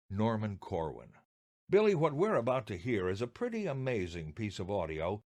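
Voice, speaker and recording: A man's voice: on the low side, darkish tone. An older American man co-hosting a podcast, presenting scripted material with theatrical, emphatic phrasing. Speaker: podcast co-host. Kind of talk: scripted radio-style presenting.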